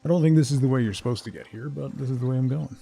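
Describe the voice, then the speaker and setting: A man with a mellow, fry-laden voice hosts a game stream. He talks casually in a halting, thinking-aloud way, drifting from remark to remark. Soft game music plays faintly behind him. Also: mid-range voice. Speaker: game livestream host. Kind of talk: casual chat while playing, answering viewers.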